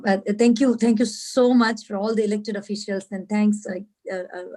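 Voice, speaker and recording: A woman's voice: mid-range voice, warm tone. A woman hosting an online community event over a video call, speaking with some hesitation. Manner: hesitant, many fillers. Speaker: event host.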